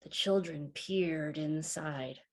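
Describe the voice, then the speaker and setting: A woman reading a children's picture book aloud in an expressive, deliberate storytelling manner. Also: deep voice. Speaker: storybook reader.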